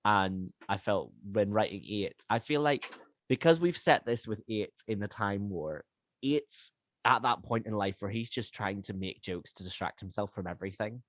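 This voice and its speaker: A man talking casually and self-deprecatingly on a podcast as a writer and actor, in an animated way. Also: fairly low pitch, normal speed, no room sound. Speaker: scriptwriter and voice actor.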